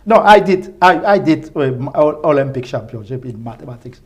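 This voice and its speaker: A middle-aged man from Benin, a native French speaker with a Francophone West African accent, talking enthusiastically as an interview guest in halting, repetitive phrases. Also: mid-range voice, expressive, a little mellow. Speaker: research professor, podcast guest. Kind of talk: casual spoken interview.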